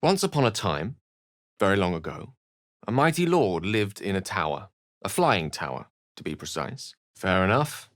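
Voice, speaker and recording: An actor playing an erudite, urbane wizard with a dry wit and a theatrical, articulate way of speaking in a video game. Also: male voice, sits low, very animated, normal speed, fairly bright tone. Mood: musing. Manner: theatrical, articulate, formal wording. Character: eloquent, erudite male wizard, urbane and dryly witty. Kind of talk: video game character dialogue.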